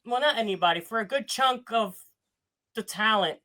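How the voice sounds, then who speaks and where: A man's voice: high voice, normal speed, bright, forceful tone. A man with a nasal voice talking as a guest on a casual wrestling panel.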